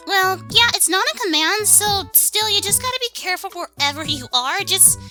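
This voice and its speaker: A woman's voice: very high voice, animated, moderate pace, music in the background. A woman chatting casually as a co-host. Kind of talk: casual chat.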